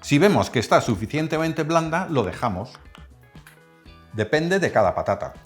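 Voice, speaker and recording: Man, lively cooking narration. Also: fairly high pitch, normal speed, soft background music. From Netherlands. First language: Dutch. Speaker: cooking channel host. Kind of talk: cooking tutorial voice-over.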